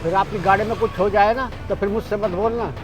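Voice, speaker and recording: An actor playing a polite security guard who pleads with a rude driver in a short drama skit. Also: male voice, sits high, fairly even intonation, fast talker, music in the background, lightly acted. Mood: pleading. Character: patient, polite middle-aged male security guard.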